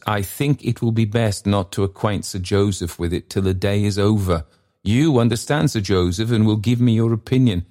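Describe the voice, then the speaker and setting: A man's voice: lowish voice, a little mellow, pitched above the performer's own voice, natural voice. A man voicing an agitated, deferential secretary who speaks formally, with urgent secrecy. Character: agitated, confidential male secretary, fussy and deferential.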